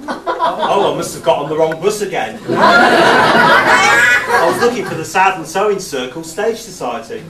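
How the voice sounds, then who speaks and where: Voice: medium pitch, sing-song, unhurried, pauses often. An amateur stage performer playing a boastful but hapless pantomime giant. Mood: cheeky, hapless. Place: theatre or hall stage with audience. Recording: echoey hall, distant mic, audience noise.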